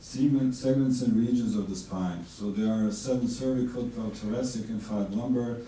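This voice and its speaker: A man from Bosnia and Herzegovina, a neurosurgeon giving a measured course lecture with many fillers and restarts. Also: mid-range voice, warm tone. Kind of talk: conference lecture. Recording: distant mic in an echoey hall with faint noise.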